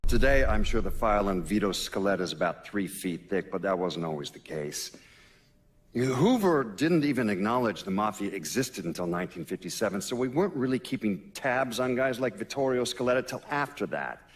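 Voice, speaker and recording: Man as a low-voiced federal investigator. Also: lively intonation, a little fast, slight background noise, lightly acted. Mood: matter-of-fact, reflective. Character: matter-of-fact male federal investigator recounting mob history. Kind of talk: mock-documentary interview in a video game.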